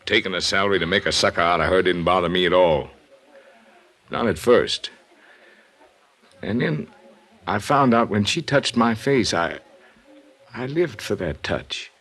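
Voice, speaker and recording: An American actor playing a tough but shaken, lovesick hired man in a 1950s radio drama, speaking in a halting, confessional way. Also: low-pitched, lively intonation, a bit bright, exaggerated voice. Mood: anguished.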